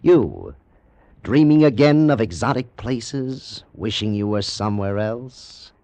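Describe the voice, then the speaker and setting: A man's voice: fairly low pitch, very animated, slow, dark tone, no echo. A man announcing an old-time radio drama in a portentous, theatrical manner.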